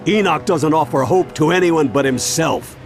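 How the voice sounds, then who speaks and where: A man's voice: fairly high pitch, normal speed, lightly acted. A man playing a wise, wary older mentor in a video game, serious and explanatory. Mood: stern concern. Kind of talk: video game cutscene voice acting. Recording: music under the voice.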